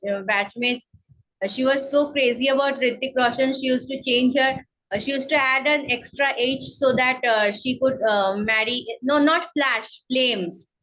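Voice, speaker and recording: A woman with a Bengali accent speaking English as a guest in an interview, slow and hesitant with many fillers. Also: high voice, a bit bright, rough recording. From India. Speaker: guest, counselling psychologist. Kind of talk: online interview, casual chat.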